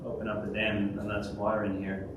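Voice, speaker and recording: A man's voice: lowish voice, monotone, fast. A man giving a conference talk and taking questions, hesitant, with many fillers and restarts. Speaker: conference speaker. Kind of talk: presentation and Q&A. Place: large event hall. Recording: echoey hall from a distance, noisy.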